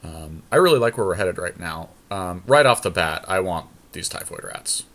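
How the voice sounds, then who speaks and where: Man, lowish pitch, casual podcast co-host, opinionated, blunt, game draft commentary. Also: expressive, normal speed, fairly bright tone.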